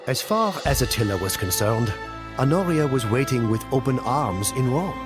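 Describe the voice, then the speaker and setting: A man playing a grave storyteller in a game cutscene, narrating ancient wars in a solemn, theatrical, deliberate way. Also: medium pitch, animated, music in the background, lightly acted. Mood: grave, dramatic. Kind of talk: dramatic scripted storytelling narration.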